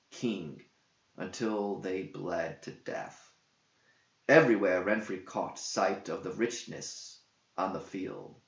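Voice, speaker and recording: Slow, echoey low-voiced man narrating an epic. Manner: theatrical, declamatory storytelling. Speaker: narrator, author reading own verse epic. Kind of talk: dramatic scripted verse narration. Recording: dull roomy echoing home recording.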